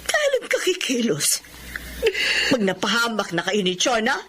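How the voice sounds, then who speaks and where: A middle-aged, protective elder sister in a Filipino radio drama. She scolds emphatically in a fairly high, swinging voice. There is faint noise behind her. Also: wildly swooping pitch, measured pace, pushed and bright, heavily acted. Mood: exasperated, urgent. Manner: emphatic, exasperated.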